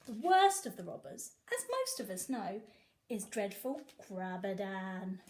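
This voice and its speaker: A woman teacher reading a picture book aloud to young children, with animated, sing-song emphasis. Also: medium pitch, unhurried. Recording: echoey room.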